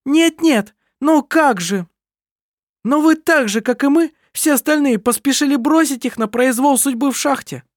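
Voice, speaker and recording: A reader voicing a furious young woman who shouts accusations in an audiobook. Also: a little slow, no room sound, clean studio sound. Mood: angry. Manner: shrill, shouting.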